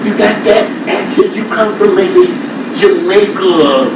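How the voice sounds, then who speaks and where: A man with a Guyanese accent preaching a call-and-response sermon, breaking into sudden shouts. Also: high-pitched, lively intonation, a little slow, dull audio. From United States. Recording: echoey room from far off, loud noise.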